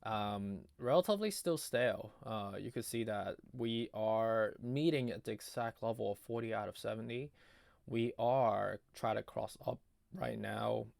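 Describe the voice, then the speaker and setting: A man giving casual, off-the-cuff chart commentary in a halting, conversational way, his voice strained as if giving out. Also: lowish voice, gravelly, darkish tone, no echo. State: losing his voice, often clearing throat. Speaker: market analysis video host.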